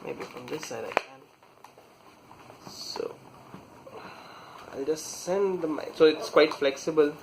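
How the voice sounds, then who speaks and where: A man talking casually through a hands-on product installation, halting and pausing mid-sentence as he works. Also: a little high, gravelly, background noise. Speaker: product reviewer demonstrating installation. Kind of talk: casual hands-on tutorial talk.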